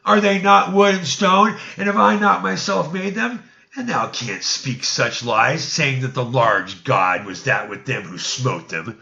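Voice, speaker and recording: A man's voice: medium pitch, creaky, pushed and bright, exaggerated voice. A man voicing an angry, accusing older father in a scripture reading, with emphatic delivery, in a lo-fi recording. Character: angry older father, stern idol maker. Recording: dull, lo-fi sound.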